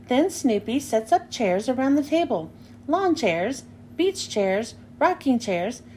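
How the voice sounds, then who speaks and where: A woman's voice: high-pitched, warm tone, a little hiss or hum. A woman narrating a children's storybook as a read-aloud. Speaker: storybook reader.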